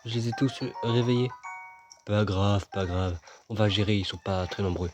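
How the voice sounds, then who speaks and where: A man's voice: lowish voice, normal speed, over music. A man casually commenting as he plays a video game, joking and chatting with himself and his viewers. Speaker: gaming video host. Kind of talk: casual let's-play commentary.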